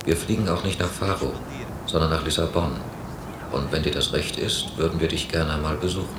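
A low male voice plays a doubtful, brooding man in a radio play. He reflects in a measured way. The old cassette copy carries clear hiss and noise. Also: steady tone. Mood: measured, gentle. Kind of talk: radio drama inner monologue and dialogue.

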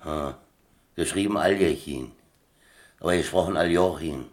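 An actor playing a boastful, easygoing captain in a German radio play, talking like a leisurely, self-satisfied storyteller. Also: male voice, deep voice, normal speed, lightly acted. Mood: reminiscing. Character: boastful, easygoing old sea captain spinning tales over chess.

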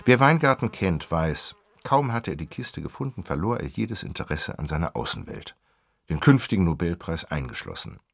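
A wry storyteller narrates a radio drama. His voice is low and gravelly, moving in animated swings of pitch. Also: normal speed, no echo, exaggerated voice. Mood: brisk storytelling. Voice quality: gravelly, raspy.

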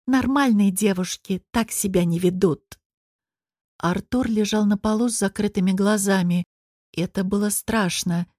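A woman reading first-person fiction as an expressive audiobook narrator. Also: medium pitch, a little slow, no room sound, studio quality.

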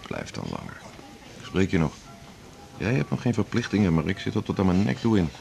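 A man's voice: low-pitched, wildly swooping pitch, fairly quick, creaky, a little mellow, soft background music, full character voice. An actor playing a workaholic middle-aged male internist in a 1980s radio drama, talking in a wry, self-mocking, confiding way. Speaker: hospital internist and chief.

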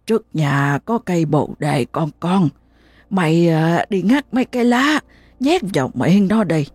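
A woman's voice: on the low side, animated, measured pace, darkish tone, husky, dry recording. A woman voicing the ghost of an old grandmother giving curt orders. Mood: commanding.

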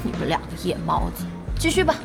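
An actress playing a lively, fiery young female internet cafe boss in a Chinese audio drama, speaking in exclamations. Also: on the low side, quick pace, creaky, heavily acted. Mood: huffy. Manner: exclamatory, expressive. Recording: music behind the voice.

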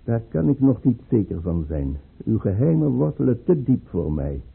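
An actor playing an anxious, principled imperial chancellor with formal, measured, troubled delivery in a Dutch radio play. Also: male voice, medium pitch, sing-song, vocal fry, slightly rough, exaggerated voice. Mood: uneasy, resigned. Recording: muffled, narrow-band old radio recording.

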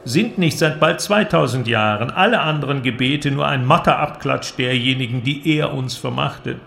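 An actor playing an eloquent, cynical inventor who argues in a declamatory, creaky voice in a literary audio drama. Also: male voice, mid-range voice, normal speed, fairly bright tone, slight background noise. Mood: persuasive, mocking. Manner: declamatory, argumentative, rhetorical. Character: male inventor, cynical, eloquent philosophical persuader.

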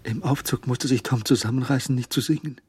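A man narrating a crime radio drama in a sober, literary manner with measured, clipped phrasing. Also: mid-range voice, a little mellow, husky.

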